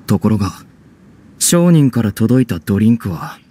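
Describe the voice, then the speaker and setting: A man's voice: fairly low pitch, sing-song, moderate pace, warm tone, slight background noise. A man voicing a guarded, stubborn young theatre performer in an anime game, speaking bluntly and informally. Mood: explaining, resigned. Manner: gruff, blunt, informal speech.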